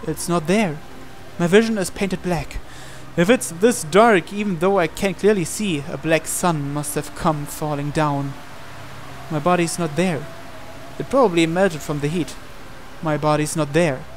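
Higher-pitched man narrating a story. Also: unhurried, slight background noise. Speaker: game player reading aloud. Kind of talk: dramatic read-aloud narration of a visual novel.

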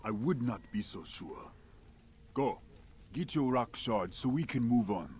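A man's voice: medium pitch, animated, unhurried, darkish tone, a little hiss or hum, lightly acted. A stern alien warrior from a video game, acted by a man, distrustful of outsiders. He gives orders in clipped, formal phrases with strongly varied intonation. Faint ambience sits behind the voice. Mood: scornful. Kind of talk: video game cutscene acting.

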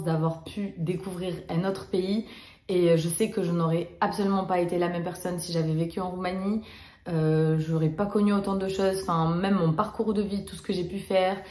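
A young woman from Romania talks to the camera in a casual storytime vlog, her voice sitting a little low. She chats along with plenty of fillers and restarts, and the small room around her rings with echo. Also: dark tone. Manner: chatty, many fillers and restarts. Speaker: vlogger.

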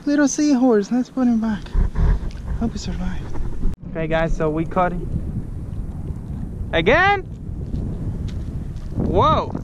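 Excitable high-voiced man fishing outdoors. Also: takes it slowly, long pauses, dull audio. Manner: excitable, exclaims loudly over each catch. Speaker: fishing vlogger. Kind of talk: excited outdoor vlog narration. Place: kayak on open bay outdoors. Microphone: action camera mounted on kayak. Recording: wind noise on open water. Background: wind and water.

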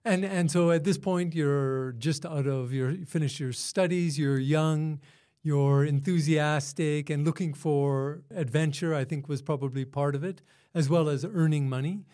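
A man hosting an interview podcast, reading a scripted intro and then asking measured questions. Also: on the high side, fairly even intonation, warm tone. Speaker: podcast host.

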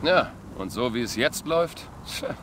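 An actor playing a young male soldier leading his squad in a German game dub, dry and wry, shouting in combat. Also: medium pitch, lively intonation, unhurried, background noise, lightly acted. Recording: loud game music behind.

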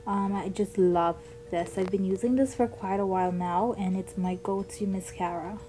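A woman's voice: mid-range voice, fairly even intonation, moderate pace, warm tone, rough recording. A young woman with a childlike voice narrating a makeup tutorial in a chatty, upbeat way. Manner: chatty, upbeat product talk with ums. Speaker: beauty vlogger.

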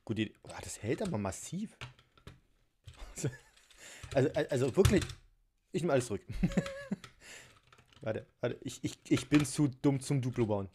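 A man's voice: mid-range voice, wildly swooping pitch, measured pace, long pauses, mellow, slight background noise. Giggly, chatty man building toys. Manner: chatty, giggly, self-mocking. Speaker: toy unboxing vlogger. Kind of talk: casual chat while building a toy set. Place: home tabletop filmed from above.

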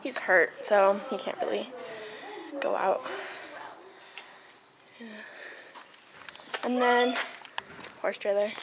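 A woman's voice: medium pitch, fairly even intonation, slow pace, long pauses. A woman chatting casually as she films a handheld vlog, rambling and trailing off as she gives a tour. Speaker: vlogger giving a barn tour. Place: horse barn and its grounds. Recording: noisy handheld camera recording.

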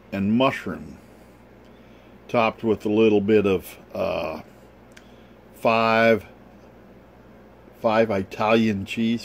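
A man in his sixties talking casually in his camping vlog, folksy and chatty, chuckling as he goes. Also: fairly low pitch. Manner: chatty, folksy, chuckles often, slow drawn-out phrasing. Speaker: outdoor cooking vlogger. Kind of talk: casual camp vlog narration. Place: inside a camper. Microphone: camera held close to the face.

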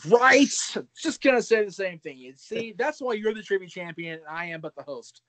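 A man's voice: high voice, lively intonation, moderate pace, a bit bright. Chatty man hosting a video call. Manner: chatty, self-deprecating, lots of fillers and restarts. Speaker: podcast host. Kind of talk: casual chat. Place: home room with brick wall, indoors. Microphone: webcam video call.

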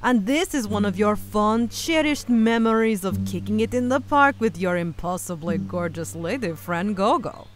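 A woman's voice: mid-range voice, expressive, normal speed, hardly any pauses, close to an everyday voice. A woman voicing a big, beefy cartoon lady who talks in a theatrical, teasing way with broken, foreign-style grammar. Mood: teasing, dramatic. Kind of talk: cartoon dub voice acting. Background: background music.

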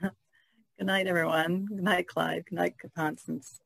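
A woman joins a podcast as a guest, talking informally. Her voice has a clear creak, and the sound is dry. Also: fairly low pitch, level tone, measured pace, fairly bright tone, no room sound. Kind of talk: casual chat.

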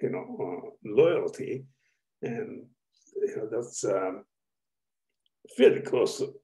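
A man in a seminar on a video call who asks long questions in a halting way, with many restarts and fillers. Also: lowish voice, lively intonation, warm tone, rough recording. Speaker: audience member asking questions.

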